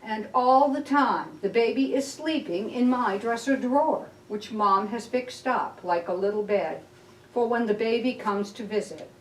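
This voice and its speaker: An aggrieved six-year-old little brother, read by a woman, complains defiantly from a picture book. The delivery is slow and whiny, set a bit back from the microphone in a roomy, echoing space. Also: fairly high pitch, a little hiss or hum, close to an everyday voice. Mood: indignant, defiant. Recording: roomy echo, distant mic.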